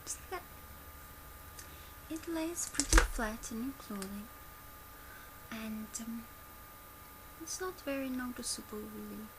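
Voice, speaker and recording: A woman in her twenties speaking softly and quietly in a gentle, instructional ASMR demonstration, recorded at home. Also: on the high side, expressive, takes it slowly, pauses often. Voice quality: soft, quiet. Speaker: ASMR creator playing a customer service presenter. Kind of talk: soft-spoken ASMR product demonstration. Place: small bedroom, indoors. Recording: noisy amateur home webcam recording. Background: clear background noise.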